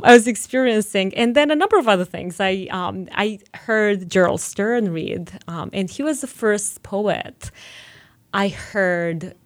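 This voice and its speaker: A woman poet from Romania with a Romanian accent, talking haltingly in an interview and then reading her poems slowly and carefully. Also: mid-range voice. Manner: hesitant, restarts often when speaking freely; slow and deliberate when reading poems. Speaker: poet guest. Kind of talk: interview talk and poetry reading.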